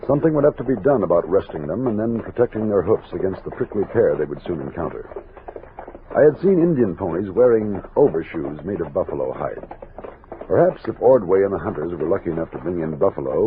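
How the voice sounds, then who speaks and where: An actor playing a steady frontier army captain who leads an expedition and narrates it in measured, commanding old-time radio style. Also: male voice, low-pitched. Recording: tinny noisy old radio recording.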